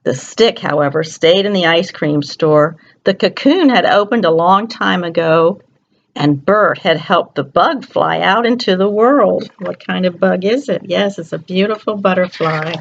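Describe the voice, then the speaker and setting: A woman's voice: lowish voice, a little slow, vocal fry, lo-fi sound. A woman storyteller reads a picture book aloud to young children, expressively, often putting questions to her listeners.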